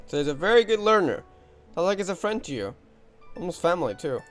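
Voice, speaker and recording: A man's voice: high-pitched, big pitch swings, fast talker, fairly bright tone. A man doing casual live commentary on a game playthrough, chatty and thinking aloud off the cuff. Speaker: game streamer. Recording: game music behind the voice.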